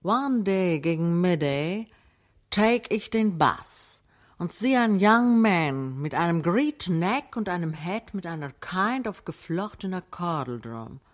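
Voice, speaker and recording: Unhurried, lower-voiced woman storyteller. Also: lively intonation. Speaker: radio play reader. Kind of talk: literary recital mixing German and English.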